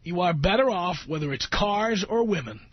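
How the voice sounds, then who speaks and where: A middle-aged American man hosting talk radio with an opinionated monologue, speaking in an emphatic, sardonic way with deliberate phrasing. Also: sits high, lively intonation. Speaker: radio talk show host. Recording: dull, roomy radio recording with music.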